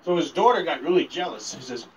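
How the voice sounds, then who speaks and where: A man's voice: a little high, animated, fast talker, slight background noise. A young man doing casual, rambling stand-up outdoors, exclaiming and getting sidetracked as he banters and tells stories. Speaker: stand-up comedian. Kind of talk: casual live stand-up, storytelling and crowd banter. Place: outdoors at night by a log cabin porch. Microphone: handheld microphone, filmed from a few meters. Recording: distant phone recording of outdoor amplified set.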